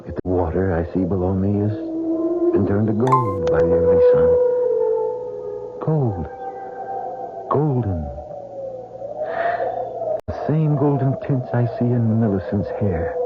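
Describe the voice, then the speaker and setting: An actor playing an earnest, romantic gentleman planter, theatrical and impassioned, in an old radio drama. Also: low-pitched, full character voice. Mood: wistful. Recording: tinny, noisy old radio broadcast.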